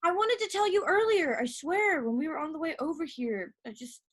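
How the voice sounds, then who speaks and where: An actress playing an earnest, defensive young woman, a halting and pleading younger sister in a stage play. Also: high voice, animated, a bit bright. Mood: defensive, frustrated. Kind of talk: naturalistic one-act stage play.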